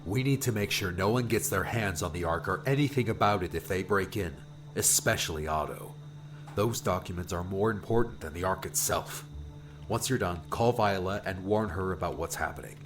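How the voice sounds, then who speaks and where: Audiobook hero, acted by a male narrator: troubled young adventurer, very deep, earnest and pressed for time, over clear music. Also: normal speed, fairly bright tone. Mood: urgent, determined. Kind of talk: audiobook character acting.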